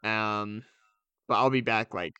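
A man's voice: on the low side, slow pace, bright, forceful tone, smooth, no room sound, muffled recording. A young man co-hosting a podcast in casual, conversational chat. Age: young adult. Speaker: podcast co-host.

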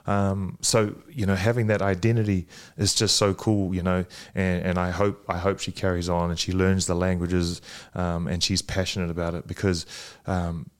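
A man, a guest talking casually with many pauses and restarts. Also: low-pitched, little pitch movement. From Fiji and New Zealand. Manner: hesitant, many ums and restarts. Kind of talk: casual conversation.

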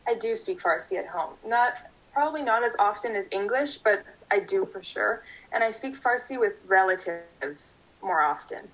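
Young adult woman, interview guest, calm, measured answers, mid-pitched. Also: poor audio. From Canada. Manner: thoughtful, steady, speaks in full sentences.

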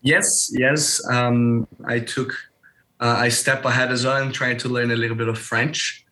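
Young brazilian guy w/ portuguese accent, mid pitch, chatting in an interview, kinda feels around for words. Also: little pitch movement, a little slow, pushed and bright, low-quality recording. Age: young adult. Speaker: interview guest, school alumnus. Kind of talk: casual interview answers.

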